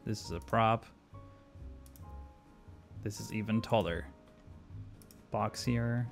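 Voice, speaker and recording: Gravelly man streaming a city build. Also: on the low side, slow, faint music behind. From Argentina. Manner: thinks aloud with many fillers, reads chat questions and answers them. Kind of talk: live stream commentary while playing.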